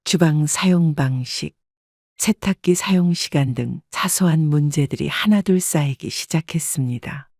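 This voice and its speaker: Calm woman narrating a story. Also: sits low, measured pace, no room sound, clean studio sound. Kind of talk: scripted first-person story narration.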